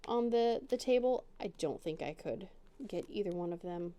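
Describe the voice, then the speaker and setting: Chatty woman crafter, mellow and lively. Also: on the low side, moderate pace. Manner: rambling, self-deprecating, chatty. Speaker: crafting channel host. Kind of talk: casual chat while crafting. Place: home dining room.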